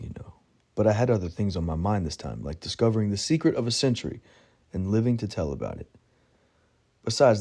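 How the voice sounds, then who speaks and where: A man's voice: on the low side, mellow. A man reading a first-person audiobook story in a wry, self-mocking tone. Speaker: narrator.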